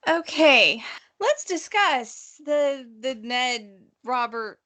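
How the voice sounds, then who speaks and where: A woman's voice: high voice, lively intonation, very slow, bright, forceful tone. A woman co-hosting a casual book discussion, opinionated and emphatic, swearing freely. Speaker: podcast host.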